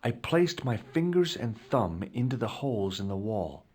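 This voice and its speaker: A dry adventurer narrating. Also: male voice, on the low side, big pitch swings, normal speed, a little mellow, breathy edge. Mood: dry, matter-of-fact. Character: wry adult male adventurer narrating his actions. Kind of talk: game character narration.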